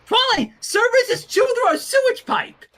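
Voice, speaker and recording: A man voicing a brash, excitable young female cartoon pony, blurting and breezy, in a fan fiction reading. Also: very high-pitched, normal speed, pitched above the performer's own voice, heavily acted. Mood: alarmed.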